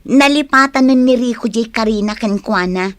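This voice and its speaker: An actress playing a gossipy Filipino niece in a radio drama. She speaks in a high voice, recorded very dry and close. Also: moderate pace, no room sound, lightly acted. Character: chatty, gossipy niece of the family.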